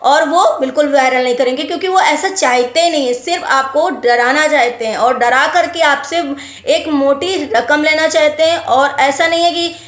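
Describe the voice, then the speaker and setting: A woman's voice: sits high, moderate pace, a bit bright. A woman vlogger talking casually to viewers and giving advice, explaining with emphatic repetition. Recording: roomy, echoey sound.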